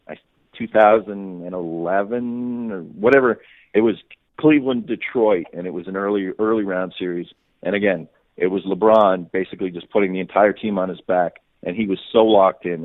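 A man's voice: sits low, fairly even intonation, moderate pace, no echo. A man giving off-the-cuff sports analysis as a guest reporter, often repeating words and restarting his sentences. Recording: muffled phone-like radio line.

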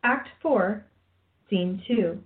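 A woman's voice: medium pitch, very slow, slight background noise. Woman narrator, tinny phone-like recording. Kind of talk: scripted radio play narration. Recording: tinny phone-like sound, faint music behind.

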